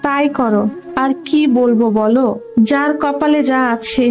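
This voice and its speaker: A woman voicing a poor, fretful, caring village mother in a cartoon, with an expressive, homely, lamenting manner. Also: sits high, a little slow, faint music behind. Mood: dismayed, resigned. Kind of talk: Bengali cartoon voice acting.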